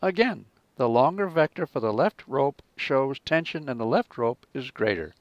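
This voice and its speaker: A man narrating a scripted physics lesson as a teacher, in a measured, explanatory manner. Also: medium pitch, expressive, dry recording, dull audio.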